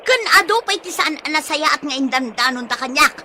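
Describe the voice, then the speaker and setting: High, bright, suspicious wife. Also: female voice, lively intonation, a little fast, slight background noise, exaggerated voice. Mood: accusing, angry. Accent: Ilocano. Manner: interrogating, pointed questions. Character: stern, suspicious wife home from working away. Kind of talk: comedic radio drama acting.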